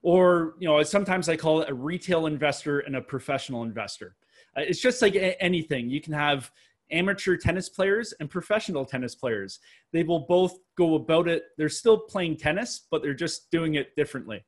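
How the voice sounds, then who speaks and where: Canadian man, fairly high voice. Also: fairly quick. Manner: storytelling, uses analogies, frequent restarts. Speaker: podcast guest. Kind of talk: casual interview answers.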